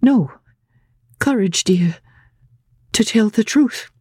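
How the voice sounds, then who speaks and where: A woman voicing an anguished, gentle young gentlewoman, earnest and halting, in a dramatic classic audiobook. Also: medium pitch, expressive, husky, no room sound, clean studio sound, pitched above the performer's own voice, heavily acted. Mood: tearful, determined.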